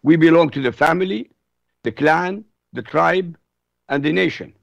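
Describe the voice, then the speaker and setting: A man's voice: a little high, moderate pace, creaky, no room sound. A man from Iraq whose first language is Arabic, speaking bluntly and emphatically as a radio guest on foreign affairs. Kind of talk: opinionated radio talk.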